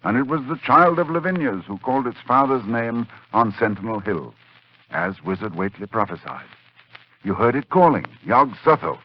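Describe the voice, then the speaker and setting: An actor playing a grave, learned doctor in a 1940s radio horror drama, solemn and deliberate in a theatrical way. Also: male voice, medium pitch, very animated. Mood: grave awe. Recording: muffled 1940s radio recording.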